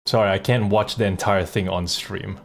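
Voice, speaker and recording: Casual low-voiced man livestreaming. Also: fairly even intonation, normal speed, vocal fry. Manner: blunt, profane, conversational. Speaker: live streamer and voice actor. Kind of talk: casual livestream chat and reactions.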